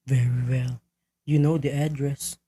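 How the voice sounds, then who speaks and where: A criminal go-between played by a man in a radio drama, methodical and businesslike when giving orders. The voice is medium in pitch, dark and somewhat husky. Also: flat delivery, a little slow. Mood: businesslike, secretive. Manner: businesslike, instructing. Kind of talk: radio play acting.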